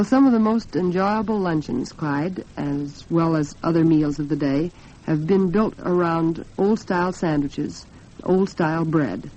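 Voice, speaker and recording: A dull, band-limited 1940s radio recording carries an American woman's low voice. She talks as a program host, chatty and earnest, with a homely touch. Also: dark tone. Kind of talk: 1940s radio talk with scripted sponsor chat.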